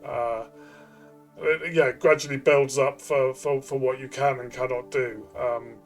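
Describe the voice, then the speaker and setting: A man with a mid-range voice gives his take as co-host of a tabletop game review. He explains in a casual, conversational way. Soft music sits in the background. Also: medium pitch, steady tone, measured pace. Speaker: co-host of a role-playing game review show.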